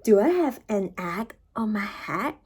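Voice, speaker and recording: A woman's voice: mid-range voice, lively intonation, measured pace, a little mellow, husky. A woman voicing an anxious, exasperated elephant from a children's storybook, full of incredulous questions. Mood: puzzled, worried.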